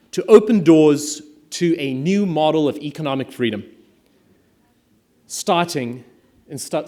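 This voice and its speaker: A middle-aged man from South Africa with a South African accent, an Afrikaans native speaker, giving a prepared keynote speech in a measured, emphatic way with deliberate pauses. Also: mid-range voice, darkish tone. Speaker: conference speaker.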